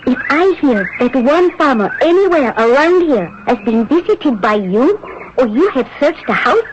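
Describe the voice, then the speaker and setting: High-voiced defiant French girl, noisy radio. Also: very animated, moderate pace. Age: young adult. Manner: sharp-tongued, challenging. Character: defiant, indignant young French farm girl. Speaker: radio actor. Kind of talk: radio drama acting. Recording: noisy, muffled old radio broadcast.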